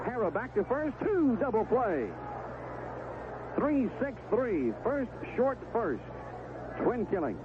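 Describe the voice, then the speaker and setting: A man's voice: very high-pitched, big pitch swings, takes it slowly, long pauses, phone-quality sound, noisy background. An American man calling baseball play-by-play in a live radio commentary style, on an old 1970s broadcast. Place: stadium broadcast position.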